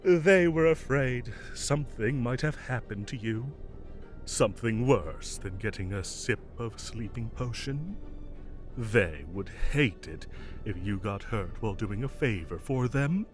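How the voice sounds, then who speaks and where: Man acting as a theatrical, animated fae king. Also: medium pitch, soft background music, close to an everyday voice. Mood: tender, bashful. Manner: theatrical, halting when flustered. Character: mischievous, evasive male fae king of summer, secretly fond. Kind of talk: scripted audio roleplay acting.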